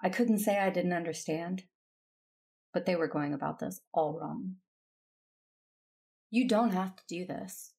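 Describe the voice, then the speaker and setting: Female Jedi lead for audio drama: earnest, determined, introspective, on the lower side with gravelly edges. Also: a little slow, pauses often, mellow. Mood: pleading, defiant. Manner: introspective, earnest first-person narrating. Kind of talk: audio drama acting with narration.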